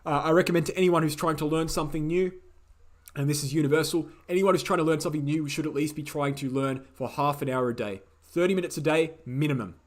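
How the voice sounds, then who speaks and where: A man hosting a live question-and-answer stream, speaking in a casual, opinionated way, repeating key phrases for emphasis, his voice slightly croaky. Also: fairly high pitch, quick pace. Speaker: host answering viewer questions. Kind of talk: casual livestream Q&A.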